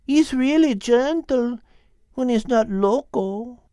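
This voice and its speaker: A man's voice: very high voice, slow pace, mellow, clean tone, no echo, studio quality, voice pushed up. A man voicing a patient female cow with a Spanish accent, explaining things like a long-suffering wife in a storybook. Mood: patient, confiding.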